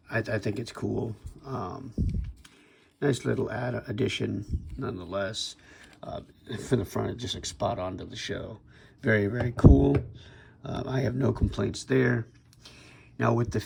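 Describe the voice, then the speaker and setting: A young man with a sick, raspy voice casually reviewing a toy figure on his channel, talking in a laid-back way with lots of fillers and restarts. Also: on the low side, a little mellow, slight background noise.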